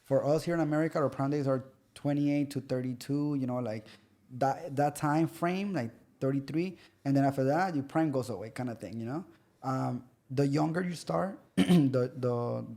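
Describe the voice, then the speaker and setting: Gravelly guy, dark mellow tone, rambling fight stories, keeps restarting sentences. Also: medium pitch, moderate pace. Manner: animated storytelling, acts out quoted voices; loose, repetitive phrasing with many restarts. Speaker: pro MMA fighter guest. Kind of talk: casual podcast chat.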